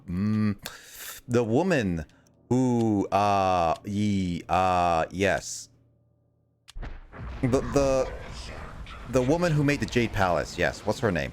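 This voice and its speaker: A young man streaming a video game and chatting casually with his viewers, rambling, mocking himself and stumbling over names. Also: fairly low pitch. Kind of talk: casual livestream chat with viewers.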